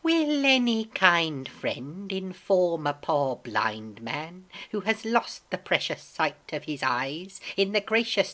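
A woman voicing a cruel blind old beggar man in a story, cold and soft-spoken, with a sly, drawn-out sing-song and a sneer. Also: low voice, pitched above the performer's own voice, full character voice. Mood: wheedling sing-song.

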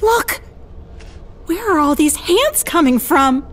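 A woman acts a curious young spacefarer in a sci-fi audio drama. Her voice is high and very animated, with words drawn out slowly. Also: heavily acted. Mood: alarmed. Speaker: voice actor.

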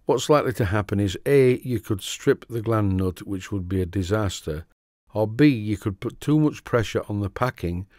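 A middle-aged man with a Yorkshire accent, giving a steady, methodical workshop tutorial voice-over about model engine repair. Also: fairly low pitch, moderate pace, dry recording, studio quality. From Yorkshire, England. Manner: steady, methodical, plain-spoken instruction. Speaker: model engineering channel host.

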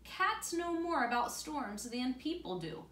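Woman reading as a reassuring mother, slightly high. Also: big pitch swings, a little slow, fairly bright tone, full character voice. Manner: reassuring, practical. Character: calm, reassuring mother in a storybook. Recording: roomy, distant camera mic with faint noise.

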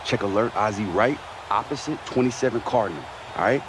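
An actor playing an earnest, respectful young American quarterback in a video game cutscene. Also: male voice, fairly low pitch, fairly quick, background noise, lightly acted. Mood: commanding. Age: young adult.

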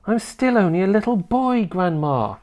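A woman's voice: mid-range voice, expressive, moderate pace, a little mellow, voice pushed up, lightly acted. A woman voicing an earnest eight-year-old boy in a children's storybook, plaintive, innocent and objecting. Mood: protesting.

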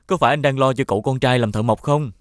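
A man playing a noble, honourable young prince in an animated fairy tale, speaking earnestly and formally. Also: mid-range voice, quick pace, dry recording, studio quality, lightly acted.

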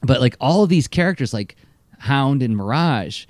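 A man co-hosting a podcast in casual chat, animated and full of fillers. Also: mid-range voice, moderate pace, no echo. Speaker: podcast co-host.

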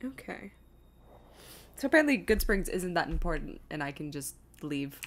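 Low-voiced woman streamer, noisy mic. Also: very animated, normal speed. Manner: self-deprecating, exasperated muttering to herself. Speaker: video game streamer. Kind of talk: casual live gameplay commentary, thinking aloud.

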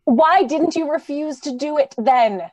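Woman acting an idealistic high-voiced lady. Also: unhurried, darkish tone. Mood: pleading. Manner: earnest, theatrical, pleading. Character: idealistic, anxious, gentle lady who loves humanity. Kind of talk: old-time radio drama acting. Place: remote video call.

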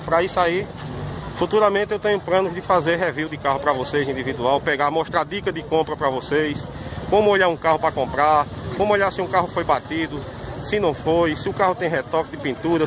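A man, giving a casual, informal walkaround of cars at an outdoor car fair, filmed on a phone. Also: a little high. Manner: chatty, informal, repeats "pessoal". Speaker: car vlogger. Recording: outdoor street noise behind. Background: traffic and crowd ambience.

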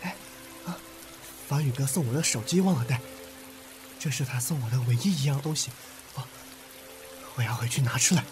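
An actor playing a gentle, devoted, heartbroken young man, speaking softly and hesitantly in a Chinese audio drama. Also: medium pitch, a little fast, pauses often, music in the background, lightly acted. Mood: anxious, searching.

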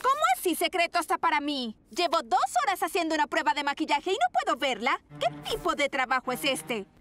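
Very high-pitched, vain cartoon model. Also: female voice, big pitch swings, brisk, over music. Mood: eager, indignant. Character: vain excitable young female model. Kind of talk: dubbed cartoon acting.

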